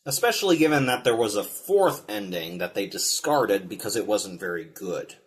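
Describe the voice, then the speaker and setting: A man's voice: fairly low pitch, expressive, normal speed. A man delivering scripted video-essay narration as a film reviewer and host.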